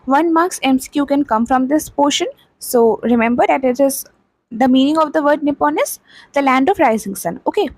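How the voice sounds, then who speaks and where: A young woman with an Indian English accent explains a history lesson in a high, clear voice. She goes over each point again and again with lots of "okay" and "uh." The sound is dry and close, with no room echo. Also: normal speed, a little mellow. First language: not Hindi. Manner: explains plainly, repeats key points with frequent "okay" and "uh". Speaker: teacher. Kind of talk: explaining a textbook chapter.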